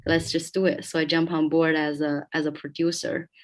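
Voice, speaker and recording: A woman's voice: sits low, level tone, normal speed. A woman film producer speaking earnestly in a video interview, often restarting sentences and leaning on "like".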